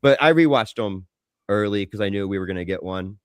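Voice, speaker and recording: A man's voice: lowish voice, animated, fairly quick, no room sound, clean studio sound. A man co-hosting a podcast with casual, opinionated banter, blunt and free with swearing, acting out his own reactions as quotes. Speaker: podcast co-host.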